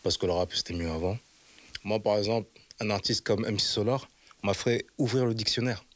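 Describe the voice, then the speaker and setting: A man who is a channel host sits for a casual interview and talks quickly and emphatically. He keeps checking that his listener follows him. His voice sits on the lower side, and it is recorded close and dry. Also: no room sound. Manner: emphatic, rapid, keeps asking "you see what I mean?".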